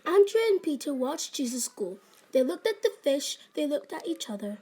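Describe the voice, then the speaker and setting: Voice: sits high, sing-song, normal speed, a little mellow. A narrator reading a scripted story for a school puppet show.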